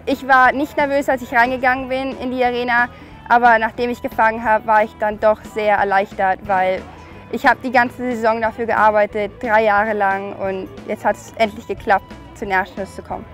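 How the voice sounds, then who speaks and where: Young woman from Germany, rodeo competitor, casual bilingual interview answers, fairly high voice, loud music behind. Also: flat delivery, normal speed. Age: young adult. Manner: bilingual, switches between English and German.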